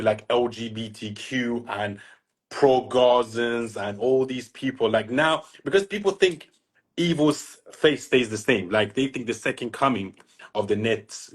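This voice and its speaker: A man from Iran who grew up there, speaking as a guest on a call, emphatic and opinionated, stretching key words. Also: medium pitch. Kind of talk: opinionated casual interview talk. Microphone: phone on a video call.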